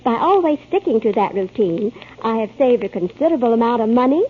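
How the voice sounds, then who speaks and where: A woman's voice: fairly high pitch, expressive, brisk. A woman reading a scripted testimonial in a 1940s radio advertisement. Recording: tinny, noisy 1940s radio broadcast.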